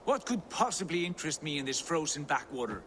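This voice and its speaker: An actor playing a proud, bitter Viking prince with visions of greatness, haughty and declamatory in a dramatic video game. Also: male voice, a little high, very animated, fast talker, a bit bright, a little hiss or hum. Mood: cold scorn. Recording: music behind the voice.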